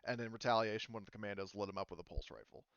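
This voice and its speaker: A man's voice: on the low side, very fast, a bit bright, dry recording, highs cut off. Casual man running a tabletop game. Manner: casual, many fillers like "like" and "um". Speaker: game master. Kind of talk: explaining rules and lore in a tabletop game.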